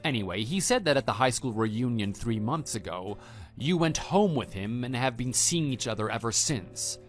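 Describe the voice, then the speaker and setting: A man playing a calm, matter-of-fact husband and former teacher in a scripted online radio drama. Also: mid-range voice, lightly acted. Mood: calm, reassuring. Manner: measured, matter-of-fact, quietly dry. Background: background music.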